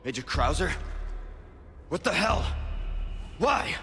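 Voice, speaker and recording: An actor voicing a terse, driven young action hero in a video game, curt and intense. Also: male voice, fairly high pitch, big pitch swings, slow pace, heavily acted. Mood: shocked. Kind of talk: video game cutscene acting. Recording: loud music behind the voice.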